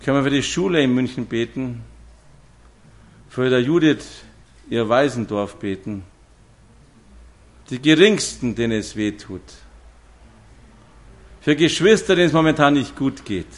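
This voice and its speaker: A man's voice: medium pitch, expressive, measured pace, long pauses. A man, preaching a sermon to a congregation and telling stories with direct questions to his listeners. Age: 50s.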